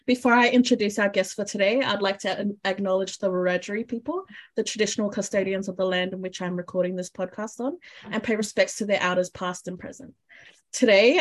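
Chatty woman hosting a podcast. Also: mid-range voice. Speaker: podcast host. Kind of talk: casual interview chat.

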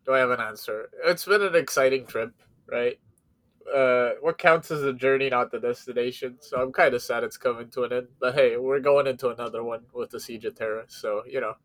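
A man co-hosts a casual podcast while ill, and his voice sounds strained. He has a middling pitch and keeps things jokey. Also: steady tone, a little fast, a bit bright. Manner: joking, laughs between lines. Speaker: podcast co-host.

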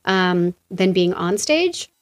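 An American woman speaks as a guest in a relaxed interview. She talks fast and clearly. She tells stories with energy and acts out what other people said. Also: on the low side. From United States. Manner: lively storyteller who acts out other people's lines. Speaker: guest, associate principal and former actor. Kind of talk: casual conversational interview.

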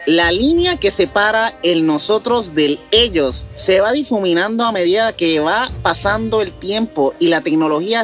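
A man with a Mexican accent co-hosting a casual podcast about strange news, repeating words as he works out his phrasing. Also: sits high. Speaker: podcast co-host. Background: music.

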